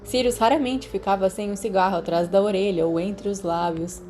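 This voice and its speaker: Female narrator, Brazilian accent, dark mellow tone, mid pitch, scripted storybook reading. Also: brisk, slight background noise. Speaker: audiobook narrator. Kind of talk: scripted fan fiction narration.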